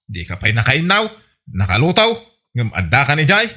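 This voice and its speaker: A man's voice: medium pitch, wildly swooping pitch, moderate pace, bright, forceful tone, exaggerated voice. A man playing an exasperated village husband who scolds his wife in a comedy radio drama, on muffled audio.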